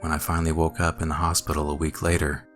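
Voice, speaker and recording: A man with an extremely deep voice narrates a horror story. His delivery is scripted, measured and dramatic, with faint music playing behind him. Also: flat delivery.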